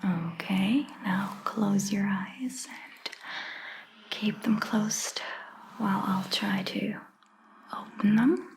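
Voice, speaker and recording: A woman performing a soft-spoken ASMR roleplay as a caring vampire doctor, whispering breathily in a gentle, reassuring manner. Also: mid-range voice, creaky, slight background noise. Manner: gentle, reassuring, slow and deliberate.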